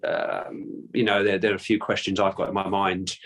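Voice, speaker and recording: A man's voice: low voice, flat delivery, normal speed, fairly bright tone. A man with a London accent answering interview questions casually, hesitant with many restarts and fillers. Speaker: guest, descendant of a Titanic crewman.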